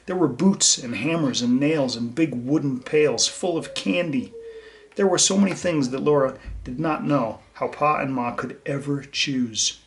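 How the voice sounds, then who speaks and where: A man's voice: medium pitch, unhurried. Man reading to children, noisy recording. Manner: simple, explanatory, addresses children directly. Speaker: teacher reading a picture book aloud to children. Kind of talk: children's read-aloud with asides to young listeners.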